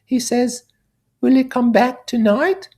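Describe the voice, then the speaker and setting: A man's voice: very high voice, slow pace, a little mellow, pitched above the performer's own voice, full character voice. A man voicing a small, frightened, earnest little boy in a storybook reading. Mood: anxious, earnest. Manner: childlike, earnest. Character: small frightened little boy's mouthpiece, reporting a beastie.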